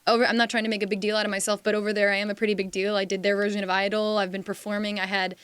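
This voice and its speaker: Smooth-voiced young woman, chatty studio guest. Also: medium pitch, little pitch movement, very fast, a bit bright, no room sound. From Slovakia. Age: young adult. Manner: chatty, rambling, many fillers and "like"s. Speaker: singer guest. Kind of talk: casual interview chat. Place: radio studio. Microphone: studio microphone.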